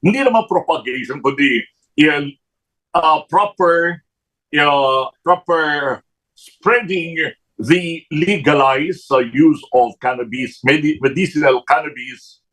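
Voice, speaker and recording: Husky Filipino radio host, filler-heavy. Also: male voice, on the high side, slow pace, fairly bright tone, poor audio. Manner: Taglish with many fillers and restarts. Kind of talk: radio interview. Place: radio studio.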